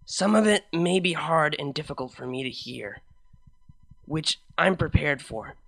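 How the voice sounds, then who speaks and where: A reader puts on a female unicorn DJ pony from a fan fiction story. She sounds sincere and devoted, with a deliberate, tender delivery. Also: medium pitch, expressive, creaky, a bit bright, heavily acted. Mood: reassuring. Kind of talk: dramatic fan fiction reading.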